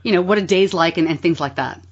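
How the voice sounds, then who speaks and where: Woman podcast host, low-ish voice, curious and chatty, slightly muffled recording. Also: fast, slightly rough. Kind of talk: conversational interview.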